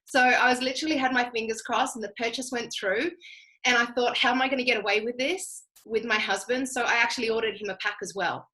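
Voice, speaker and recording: Australian female, fairly high and sharp-edged, conversational testimonial delivery with plenty of emphasis. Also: steady tone, quick pace, low-quality recording. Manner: chatty, emphatic, addresses listeners directly. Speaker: guest speaker telling personal story.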